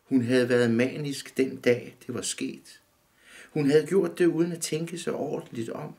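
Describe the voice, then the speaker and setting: A man in his fifties calmly reading his own short story aloud in a dim room, measured and steady. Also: medium pitch. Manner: calm, measured reading, often looking down at the text. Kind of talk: literary reading.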